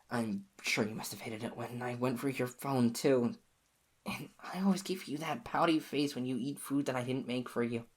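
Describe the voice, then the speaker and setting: A woman voicing an obsessive, clingy young lover in an audio roleplay, speaking softly and pleadingly in a husky, whispery voice to the listener. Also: very deep voice.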